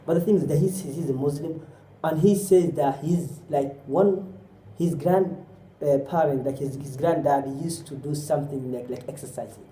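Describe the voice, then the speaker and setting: Dark-voiced man preaching. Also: on the high side, normal speed, slight background noise. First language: Somali. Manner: exhorting with repeated rhetorical questions; often drops in Arabic phrases. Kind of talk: sermon. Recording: roomy echo.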